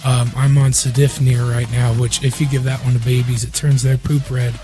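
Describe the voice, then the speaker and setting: A man co-hosting a casual podcast, his voice husky while recovering from an illness, joking crudely and loosely with friends. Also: medium pitch, level tone, normal speed, dark tone, soft background music. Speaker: podcast co-host.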